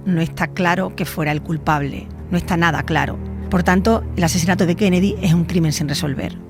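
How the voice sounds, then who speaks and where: A woman narrating a scripted true crime documentary as a podcast host. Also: lowish voice.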